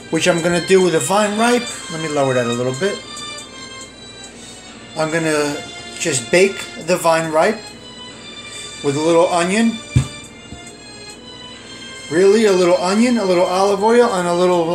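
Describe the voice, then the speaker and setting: A man giving a casual cooking walkthrough in his home kitchen, chatting through his ingredients with fillers as he films overhead on a phone. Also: on the high side. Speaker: home cook vlogger. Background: music.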